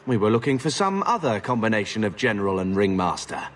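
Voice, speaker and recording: An actor playing an earnest, protective young male warrior in a video game, dry and resolute with a husky voice. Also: on the low side, sing-song, quick pace, a little hiss or hum. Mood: dry, practical. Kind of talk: video game character acting.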